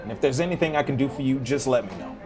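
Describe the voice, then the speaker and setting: A man playing a friendly, steady, hopeful American barman, plain-spoken and reassuring, in an audio drama. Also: medium pitch, very fast, lightly acted. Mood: encouraging. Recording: music behind the voice.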